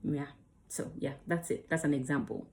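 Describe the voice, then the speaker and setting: Woman acting coach, low voice, chatty and emphatic, talks straight to camera. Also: fairly even intonation, moderate pace, slight background noise. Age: adult under 35. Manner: chatty, emphatic, demonstrates lines. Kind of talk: casual teaching to camera.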